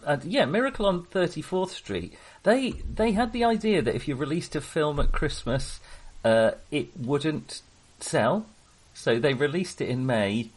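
A man with a husky voice hosts a casual film podcast. His pitch moves around in an animated way, and his delivery is wry and jokey. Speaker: podcast host.

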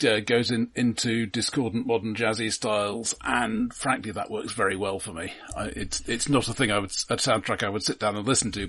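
A man co-hosting a film podcast, discussing casually in a measured, analytical way with hesitant phrasing. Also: on the low side, fairly bright tone, breathy edge.

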